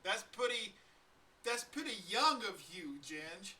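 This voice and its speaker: A man's voice: sits high, sing-song, slow, sharp tone, far from the mic. A man in his forties hosting a casual live-stream chat, rambling with lots of fillers and chuckles. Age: 40s. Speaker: podcast host.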